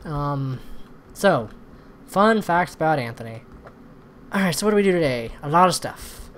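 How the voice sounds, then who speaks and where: A man doing casual let's-play commentary, thinking aloud in a rambling way, with a slightly hoarse voice. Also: on the high side, lively intonation, moderate pace, fairly bright tone. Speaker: host of a gaming channel. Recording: noisy recording with background ambience.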